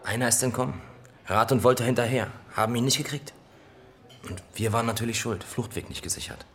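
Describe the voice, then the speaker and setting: An actor playing a matter-of-fact police officer in a radio drama, casual and clipped. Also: male voice, medium pitch, fairly quick, a bit bright, lightly acted. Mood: casual, gossipy.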